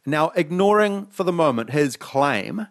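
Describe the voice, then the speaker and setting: A man's voice: fairly high pitch, expressive, unhurried. A man co-hosting a casual talk show, reading news aloud and ranting sarcastically, swearing freely. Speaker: podcast co-host.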